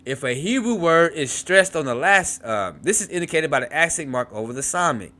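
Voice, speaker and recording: A man's voice: on the high side, animated, brisk, bright, forceful tone. A man teaching a beginners' Hebrew class, reading from a textbook and breaking off to explain, repeating words aloud to model their sounds. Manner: repeats words to model pronunciation, frequent restarts and asides.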